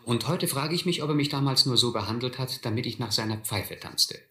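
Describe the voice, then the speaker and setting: An actor as a reflective first-person narrator recalling a boyhood adventure in a German radio play. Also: male voice, mid-range voice, fairly quick, pushed and bright, roomy sound.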